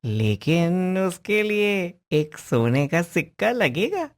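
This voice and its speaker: A woman's voice: on the low side, sing-song, takes it slowly, heavily acted. A woman voicing a clever, confident boy who sells advice in a cartoon story. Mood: shrewd, calm.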